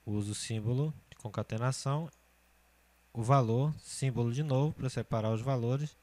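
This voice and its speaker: A man in his thirties walks through a spreadsheet lesson at a steady, methodical pace, stopping often to check that the viewer is following. His voice sits at an ordinary middle pitch, and keyboard typing can be heard under it. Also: normal speed. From Brazil. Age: 30s. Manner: steady step-by-step explaining, often checking with "certo?". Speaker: computer course teacher. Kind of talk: screencast spreadsheet tutorial.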